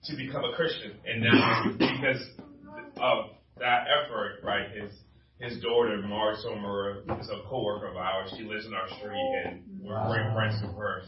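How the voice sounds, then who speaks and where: A man's voice: on the low side, fairly even intonation, normal speed, noisy background. A young man from Liberia speaking informally at church, telling his testimony in a conversational storytelling way. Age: young adult. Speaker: campus minister, guest speaker at church. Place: large room at a church gathering. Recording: roomy, dull sound from distant mic.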